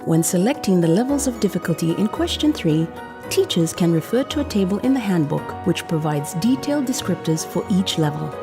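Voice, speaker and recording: A woman reading a scripted instructional narration for a training film in a measured, explanatory way. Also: lowish voice, animated. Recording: music behind the voice. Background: background music.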